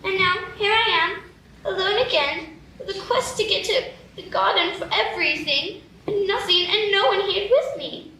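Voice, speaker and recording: Woman doing a prim curious little girl, super high voice, echoey room and far from the mic. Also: moderate pace, sharp tone, a little hiss or hum, exaggerated voice. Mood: forlorn. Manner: wondering, earnest and prim. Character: curious, polite young girl lost in a strange land. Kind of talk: live radio play acting. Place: live stage.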